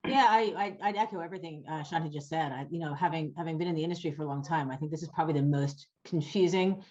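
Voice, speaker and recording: Low-voiced woman, brisk. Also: a little mellow. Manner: brisk and upbeat, quick bursts. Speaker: managing director of a game studio. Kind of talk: panel discussion. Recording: dull lo-fi call audio.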